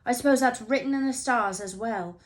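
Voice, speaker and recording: A woman voicing an anxious, excitable young schoolboy wizard in a storybook, urgent and questioning. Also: a little high, fairly quick, pitched above the performer's own voice, lightly acted. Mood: feverish, agitated.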